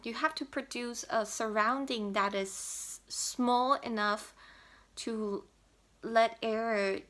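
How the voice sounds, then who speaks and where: Slow, bright-voiced Taiwanese woman explaining. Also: medium pitch, creaky. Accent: Taiwanese Mandarin. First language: Mandarin. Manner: hesitant, many "um" fillers and restarts. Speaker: language learner vlogger. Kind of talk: explaining a pronunciation tip to camera.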